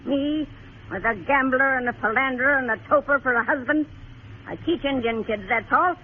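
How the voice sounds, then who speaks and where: A woman's voice: high voice, moderate pace, lightly acted. Woman playing weary frontier wife around fifty, brusque, plain-spoken, radio western, thin phone-like audio, faint noise. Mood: brusque pleading. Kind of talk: 1950s radio western acting. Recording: tinny noisy old radio recording.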